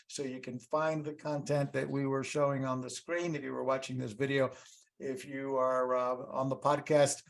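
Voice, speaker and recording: A man's voice: mid-range voice, vocal fry. A male podcast host chatting casually with a guest and giving an upbeat, rambling sign-off with calls to like and subscribe. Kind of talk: casual interview chat and sign-off.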